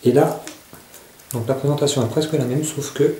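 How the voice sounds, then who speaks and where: A man's voice: medium pitch, steady tone, normal speed, noisy background. A man casually reviewing survival gear to camera. He often hesitates and searches for his words. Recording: echoey room.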